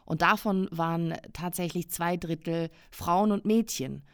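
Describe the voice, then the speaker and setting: A woman's voice: lowish voice, a little slow, smooth, no room sound, studio quality. A woman in her thirties, a native English speaker from South Africa, hosting a casual interview in a chatty, enthusiastic way. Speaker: podcast host and editor.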